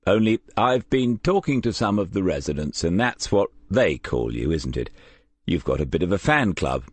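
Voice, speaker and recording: A man voicing a friendly but quietly insistent English police detective in an audiobook, questioning in a measured, conversational way. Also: low-pitched, sing-song, no echo. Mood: friendly, coaxing.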